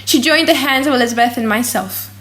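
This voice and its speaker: Theatrical woman narrator, higher-pitched voice. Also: fairly quick. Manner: theatrical, highly expressive. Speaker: audiobook narrator. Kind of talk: dramatic, slightly silly audiobook reading of a gothic novel.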